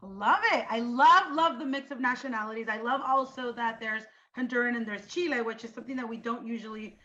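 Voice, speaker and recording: A bright, forceful woman's voice with a slightly dull, lo-fi sound. She hosts a casual interview, switching between English and Spanish with an upbeat, chatty manner. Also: fairly high pitch, brisk, slight background noise. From Los Angeles, USA.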